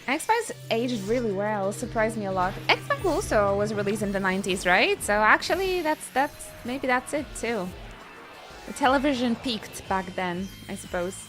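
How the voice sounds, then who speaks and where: A woman's voice: fairly high pitch, big pitch swings, normal speed. A woman live-streaming a video game, chatting casually and reacting with playful exclamations. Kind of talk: casual chat and reactions during gameplay. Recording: game music behind the voice.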